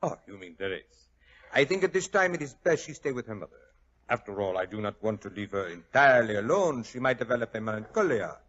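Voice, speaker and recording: An actor playing a suave, worldly French husband with an accent, smooth and pompous in halting foreign phrasing, in 1940s radio style. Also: male voice, fairly low pitch, big pitch swings, fairly bright tone. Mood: condescending, smooth. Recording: dull vintage radio recording.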